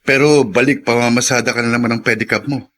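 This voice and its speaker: A man's voice: mid-range voice, steady tone, fast, a bit bright, natural voice. A man with a Filipino accent acting in a radio drama as a concerned, inquisitive friend. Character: inquisitive, concerned male friend of a pedicab driver.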